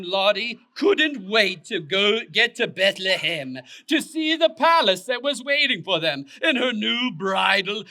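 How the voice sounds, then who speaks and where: A man narrating a scripted children's puppet show story in an expressive, deliberate way. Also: high voice.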